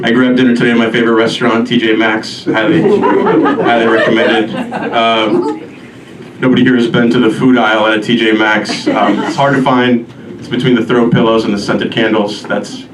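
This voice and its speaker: Echoey stand-up set from a deadpan man. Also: medium pitch, moderate pace, a crowd in the background. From Albania. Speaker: stand-up comedian. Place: live comedy show before an audience. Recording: roomy echo, distant mic, noisy live venue.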